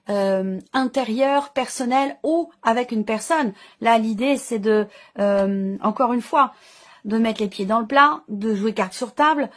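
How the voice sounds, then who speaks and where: A woman giving an improvised, intuitive tarot reading as host, feeling her way through phrases and restating ideas in lists. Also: a little high. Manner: hesitant, searching phrasing with repeated enumerations. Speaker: tarot card reader and channel host.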